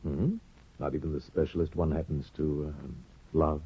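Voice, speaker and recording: An actor playing a worldly, insinuating bachelor judge in a 1950s radio drama, courtly and pausing for effect. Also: male voice, a bass voice, little pitch movement, heavily acted. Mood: sly, probing. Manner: smooth insinuation, courtly, hesitant pauses for effect. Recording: dull vintage 1950 radio recording.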